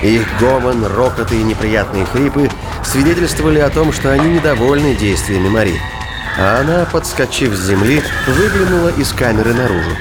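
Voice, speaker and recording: A man's voice: medium pitch, animated, a little fast. A man reading scripted dramatic narration for a sci-fi thriller audio drama. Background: music.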